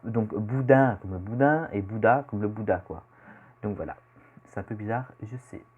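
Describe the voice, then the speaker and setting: Teen boy, Belgian French accent, hesitant rambling vlogger, lowish voice, dull home-camera sound. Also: moderate pace, slightly rough. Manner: rambling, hesitant with many fillers. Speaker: youtuber. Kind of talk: casual self-introduction vlog.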